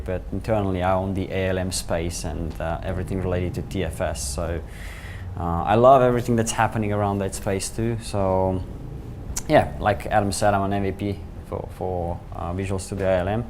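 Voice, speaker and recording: A man's voice: low-pitched, flat delivery, a little fast, darkish tone, a little hiss or hum. A man from Slovenia with a Slovenian accent, giving a conference talk as a solution architect and telling the story with chuckles and fillers. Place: meeting room with audience.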